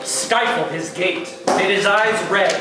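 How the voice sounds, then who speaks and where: A man's voice: sits high, heavy background noise. A man acting as the theatrical narrator of a comic Christmas tale in an amateur read, stressing words dramatically. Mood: dramatic, relishing. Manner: dramatic, drawn-out emphasis. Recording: cavernous echo, distant, music behind.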